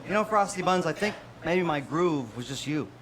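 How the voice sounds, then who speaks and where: A man's voice: fairly high pitch, lively intonation, normal speed, very noisy, lightly acted. A man playing a cocky, righteous starship captain in a theatrical parody stage musical. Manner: theatrical, swaggering. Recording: live stage recording, loud music.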